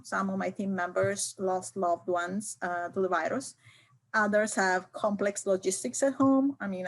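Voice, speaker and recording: Hesitant middle-aged woman IT leader. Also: mid-range voice. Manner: hesitant, many fillers and restarts. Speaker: IT leader guest. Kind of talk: remote interview conversation.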